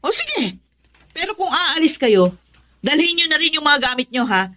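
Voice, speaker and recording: A woman's voice: high voice, very animated, moderate pace, bright, forceful tone, heavily acted. A woman playing a bossy, superstitious and stubborn older sister in a Filipino radio drama, scolding in a domineering way. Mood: angry, stern.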